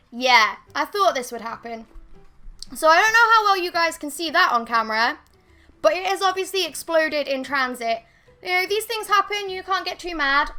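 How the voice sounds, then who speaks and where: Casual beauty vlogger, twenties, high bright voice with a slight croak, rambling and self-deprecating. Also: female voice. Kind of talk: casual makeup review to camera. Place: bedroom with bookshelves, indoors. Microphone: fixed camera at desk.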